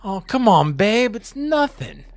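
A man's voice: sits high, sing-song, a little slow, no echo, voice pushed up. A man voicing an impatient young boyfriend in a spooky campfire tale. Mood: dismissive. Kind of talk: campfire horror story read in parts.

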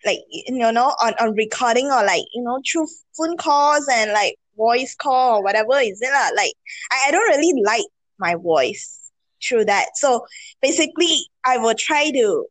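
A young woman co-hosting a casual podcast, talking in a calm, low, hesitant way with many fillers. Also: moderate pace, dry recording, poor audio. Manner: self-described introvert, keeps voice low and calm. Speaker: podcast co-host. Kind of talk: casual chat.

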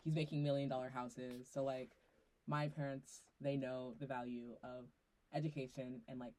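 Chatty college girl on a podcast, animated storytelling, says "like" a lot. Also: rumbling low, moderate pace, slight background noise, rough recording. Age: young adult. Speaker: student guest, business major. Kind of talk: casual chat. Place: college podcast recording, indoors.

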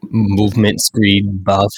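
A man's voice: on the low side, little pitch movement, slow, husky, no echo. A man co-hosting a podcast in casual chat, rambling with lots of fillers. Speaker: podcast co-host.

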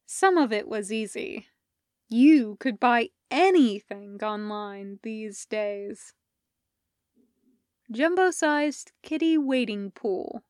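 Slow, high-voiced woman reading a story. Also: dry recording, studio quality. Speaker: podfic reader. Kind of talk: scripted narration of fan fiction audiobook.

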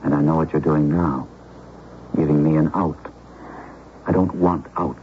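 A man playing an earnest, guilt-stricken lover and husband in old-time radio drama, speaking in a halting, dramatic way. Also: extremely low pitch, fairly even intonation. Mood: guilty, firm. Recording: muffled, noisy old radio recording.

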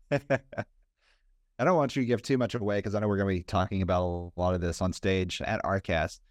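A man's voice: sits low, steady tone, normal speed, no echo, clean studio sound. A man hosting a casual interview in a conversational style. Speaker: podcast host, interviewer.

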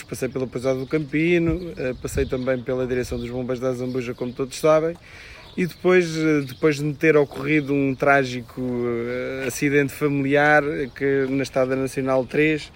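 A hoarse man in his thirties with a European Portuguese accent speaks in an even, monotone way. He hesitates often and restarts his phrases. Faint noise sits behind him. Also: medium pitch. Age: 30s. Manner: hesitant, many fillers and restarts. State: strained voice. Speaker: local election candidate. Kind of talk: political interview answers.

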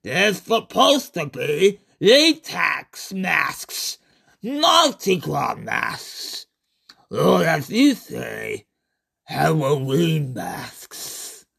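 A man voicing a calm, condescending alien entity, secretly a tentacled monster, with a deliberate, drawn-out delivery in a horror short story. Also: fairly high pitch, creaky, slightly rough, heavily acted. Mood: pedantic.

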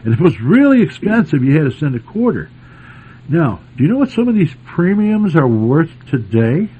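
Lively, teasing man on tinny radio. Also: on the high side, normal speed, slightly rough. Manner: teasing, joking. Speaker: radio show host. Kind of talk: casual bantering chat about old-time radio. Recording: noisy phone-like lo-fi radio sound.

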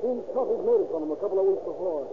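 A man's voice: high voice, animated, rapid-fire. An actor playing a talkative, resentful small-time American stickup man in a 1930s radio crime drama, with slangy tough-guy talk. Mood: aggrieved. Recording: tinny, noisy old 1930s radio broadcast.

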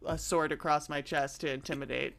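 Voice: medium pitch, steady tone, a little fast, vocal fry, a bit bright. A host chatting casually, playful and teasing a guest. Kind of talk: casual chat.

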